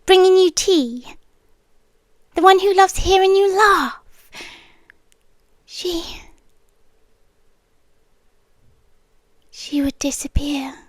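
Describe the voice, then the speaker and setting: A woman's voice: very high-pitched, dry recording, studio quality. A British woman playing a centuries-old possessive siren girlfriend in an ASMR roleplay, tender yet menacing, often sinking into whispers. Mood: pleading, possessive. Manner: slow, hushed, dropping often into whispers. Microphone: close, dry microphone.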